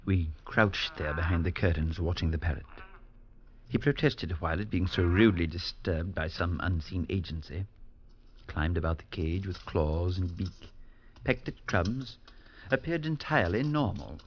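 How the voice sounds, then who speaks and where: An actor playing a priest who narrates the story in a measured way in a 1940s radio drama. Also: male voice, sits low, slight background noise, close to an everyday voice. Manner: measured narration with rising alarm in dialogue. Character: male priest narrator, earnest, steady, unsettled. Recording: dull lo-fi vintage radio with music.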